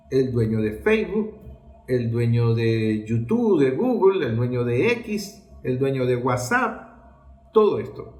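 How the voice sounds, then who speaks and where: A man's voice: mid-range voice, darkish tone. A man with a Venezuelan accent giving political commentary in an emphatic, rhetorical way, posing questions and answering them. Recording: roomy, echoing sound.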